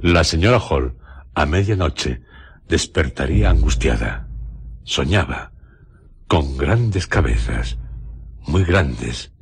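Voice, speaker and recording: A man with an accent from Spain narrating a radio drama in a measured, solemn way, phrase by phrase. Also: very deep voice, lively intonation, gravelly, breathy edge, no echo. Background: prominent music.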